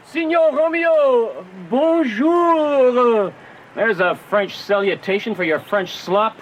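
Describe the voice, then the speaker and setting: A man playing a flamboyant young gentleman in a Shakespearean radio drama, mocking and bawdy, declaiming his teasing wordplay. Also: extremely high pitch, animated, slow, exaggerated voice. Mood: playful teasing. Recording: noisy, slightly dull radio drama recording.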